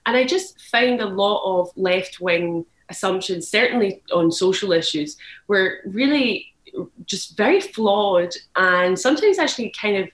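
A woman's voice: mid-range voice, animated, measured pace, a bit bright. Scottish woman in an echoey room. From Glasgow, Scotland. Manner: candid, thoughtful, restarts phrases often. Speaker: journalist guest. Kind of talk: interview answers.

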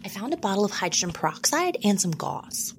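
A woman's voice: mid-range voice, sing-song, fairly quick, a little hiss or hum. A woman playing an obliging, apologetic female sidekick in a prison comedy audio drama, eager to help. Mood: anxious, helpful.

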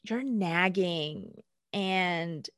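A woman's voice: fairly low pitch, drawn-out words, fairly bright tone, clean tone, no room sound, studio quality. A woman guest speaking candidly in casual conversation, blunt and emphatic, swearing freely. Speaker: podcast guest.

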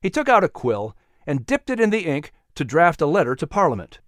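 A man's voice: a little high, sing-song, a little fast, dry recording, clean studio sound. A man narrating a historical children's story in a dramatic, scripted audiobook style.

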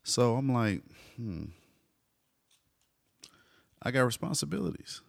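A man in his forties, a hip hop artist telling stories in a relaxed interview, dropping "you know" often. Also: medium pitch, slow, gravelly, no room sound. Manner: laid-back storyteller, frequent "you know". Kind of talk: casual conversational interview.

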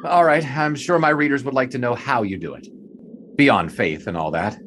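An actor playing a skeptical, uneasy 1920s sports writer in an audio drama, asking questions in a halting, wry way. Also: male voice, medium pitch, fairly bright tone, a little hiss or hum, lightly acted. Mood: hesitant, puzzled.

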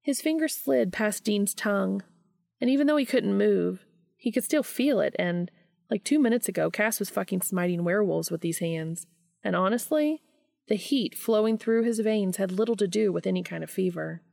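A woman hosting a podcast and reading fan fiction aloud in an expressive audiobook style. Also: medium pitch, normal speed, vocal fry, no room sound, clean studio sound.

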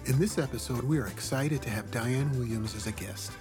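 A man with a mid-range voice hosts a podcast, reading a friendly, welcoming scripted introduction. Music plays clearly behind him. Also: mid-range voice, brisk. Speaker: podcast host. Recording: music playing underneath.

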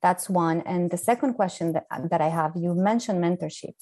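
A woman interviewer asking questions in a halting way, repeating words as she goes. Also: on the low side, warm tone.

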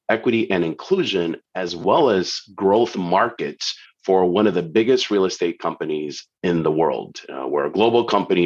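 Deep-voiced Peru-born man, muffled line. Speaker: guest, DEI leader. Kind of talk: interview answers.

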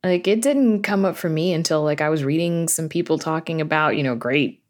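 A woman from the United States talking informally as an interview guest, telling things in a chatty, animated way with quoted asides. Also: low-pitched, a little fast. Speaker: podcast guest, horror fiction creator and media reviewer. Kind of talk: casual conversational interview.

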